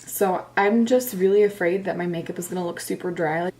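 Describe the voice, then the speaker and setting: A woman in her twenties chatting casually through a makeup first impression, a little hoarse from being sick, with lots of filler words. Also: lowish voice, brisk, a little mellow. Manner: chatty, self-deprecating, lots of "like". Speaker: beauty vlogger. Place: bedroom, indoors.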